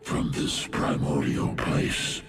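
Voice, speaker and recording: An ancient primal creature from a sci-fi game, bottomlessly deep and gravelly. It intones very slowly and solemnly, with ominous weight. Loud music swells behind. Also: male voice, fairly even intonation, heavily acted. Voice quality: cavernous deep, gravelly growl. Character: ancient male primal alien beast, ominous, solemn sage. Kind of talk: sci-fi video game character acting.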